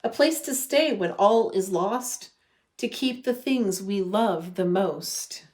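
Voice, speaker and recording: A woman in her thirties reads a picture book aloud for children. Her pace is slow and deliberate, at an average pitch, with gentle phrasing. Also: animated. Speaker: religious education director reading a children's story. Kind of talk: storybook read-aloud. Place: living room at home.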